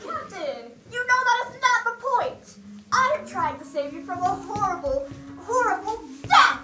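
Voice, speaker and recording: Anxious, distrustful seafaring character, played by a young woman, very high, urgent theatrical delivery, distant and echoing. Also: sing-song, a little slow, very noisy, full character voice. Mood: urgent, reproachful. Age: young adult. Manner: urgent, dramatic. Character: anxious, jealous first mate and bitter, distrustful siren's voice. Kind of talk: theatrical stage acting in mock-archaic speech. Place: school theater stage, recorded from far off. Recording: echoey school stage, distant microphone.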